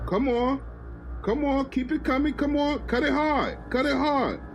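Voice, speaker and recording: A man voicing a cocky, smooth-talking street charmer, flirting with easy confidence. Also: very high voice, a little slow, faint music behind, voice pushed up. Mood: directing.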